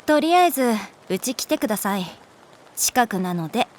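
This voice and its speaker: A woman's voice: fairly high pitch, sing-song, moderate pace, darkish tone. A woman playing a pragmatic, teasing female schoolteacher in a Japanese audio drama, moving between polite firmness and casual bluntness. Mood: firm, polite, exasperated.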